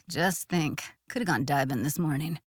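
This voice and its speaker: A woman's voice: sits low, unhurried, slightly rough, no room sound, clean studio sound. A woman playing a blunt, guarded but tender young techie in a video game, speaking in casual, clipped slang with a natural delivery. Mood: subdued, hurt.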